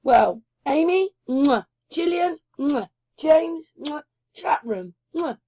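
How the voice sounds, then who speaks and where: A woman's voice: high-pitched, expressive, slow pace, mellow. A woman hosting a casual group chat, chatty and asking rhetorical questions. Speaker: chat host.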